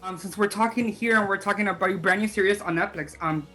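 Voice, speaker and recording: A man's voice: high-pitched, quick pace, pushed and bright, soft background music. A man hosting a casual interview, asking questions in an informal, hesitant way with loose, filler-heavy phrasing. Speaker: interviewer, host.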